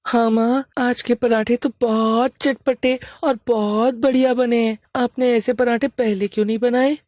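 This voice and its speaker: A woman plays a young schoolchild in a cartoon moral tale. The voice is high and mellow, flat in melody, with a plain, pleading manner. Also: measured pace, no echo, clean studio sound, lightly acted. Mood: pleased. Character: young schoolchild of a poor family.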